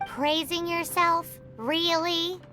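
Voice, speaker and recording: A woman voicing a tiny, sassy, childlike girl fairy in a video game, squeaky and cute. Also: steady tone, slow, sharp tone, faint music behind, full character voice. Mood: doubtful, scolding. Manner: squeaky, childish, third-person sassy talk. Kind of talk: video game character acting.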